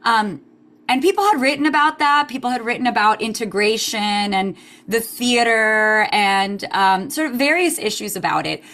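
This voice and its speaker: A woman's voice: fairly high pitch, expressive, moderate pace, a bit bright. A woman historian from Queens, answering interview questions thoughtfully with many "um" fillers and the odd Spanish phrase. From United States (Queens, New York), Cuban parents. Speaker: historian, guest author.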